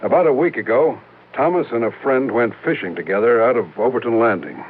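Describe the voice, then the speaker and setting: A man playing an earnest American insurance man who sounds worried and distrustful. His mid-pitched voice carries confiding, slightly hesitant radio-drama dialogue. The recording is narrow and tinny, like an old 1950s broadcast. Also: lively intonation, a little fast, a little hiss or hum, natural voice. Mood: concerned, businesslike. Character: worried, suspicious male insurance office manager. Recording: thin band-limited old radio broadcast.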